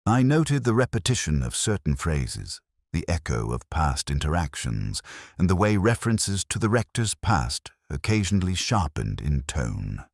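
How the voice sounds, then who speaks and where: Male detective narrator for scripted mystery drama, acted by a man: extremely low register, expressive intonation, formal and observant. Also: no room sound, studio quality, full character voice. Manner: measured, formal, literary delivery. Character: observant, reflective English male police detective, quietly reserved.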